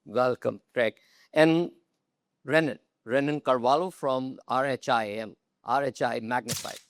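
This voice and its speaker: A man's voice: mid-range voice, unhurried, dry recording. Man moderating a conference panel. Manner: friendly, welcoming. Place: conference stage.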